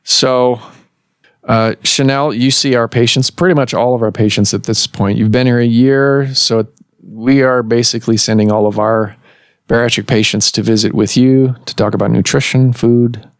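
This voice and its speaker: A man hosting a medical podcast as a doctor, explaining in a measured way with some fillers, recorded in a clinic office. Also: mid-range voice, fairly even intonation, no echo, clean studio sound.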